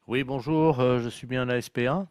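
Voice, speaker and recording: A man making a deadpan prank phone call, speaking seriously and a little hesitantly. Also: mid-range voice, fairly quick, clean tone. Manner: serious, hesitant delivery of absurd claims. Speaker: prank caller.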